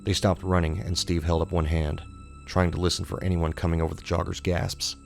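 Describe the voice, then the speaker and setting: Male horror narrator, very low and creaky, steady delivery, music underneath. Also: a little fast. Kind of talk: scripted horror audiobook narration.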